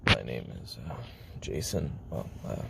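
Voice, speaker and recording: Weary, guarded former hitman, played by a man, audio roleplay confession; heavy vocal fry, halting breathy delivery, clearly noisy tape. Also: very deep voice, lively intonation, full character voice. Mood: reluctant, guarded. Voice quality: very gravelly, fry-laden, breathy murmur. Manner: halting, hesitant, self-doubting, trailing pauses.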